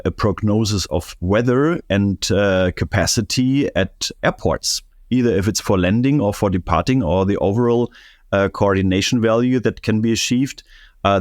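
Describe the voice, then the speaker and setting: A man's voice: fairly low pitch, no room sound, clean studio sound. A man answering interview questions as an expert guest, thoughtful and often restarting his phrases. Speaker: guest, air traffic control expert.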